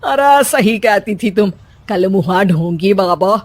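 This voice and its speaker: A woman voicing an older, sharp-tongued Indian mother-in-law in a cartoon story, scolding yet doting on her daughter. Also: medium pitch, lively intonation, slow pace, no echo. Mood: grumbling.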